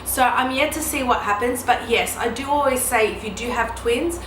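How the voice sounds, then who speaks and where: A woman's voice: a little high, normal speed. A woman in her mid-thirties, a photographer answering viewer questions in a casual, chatty way with many ums and you-knows. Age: 30s. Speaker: newborn photographer and educator. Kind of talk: casual Q&A vlog. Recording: echoey room with steady background noise.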